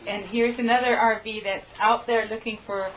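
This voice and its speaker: A woman's voice: fairly high pitch, steady tone, moderate pace, dull audio, slight background noise. Chatty woman hosting a gathering. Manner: chatty, informal, with fillers. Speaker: event host. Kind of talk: introducing speakers at a gathering.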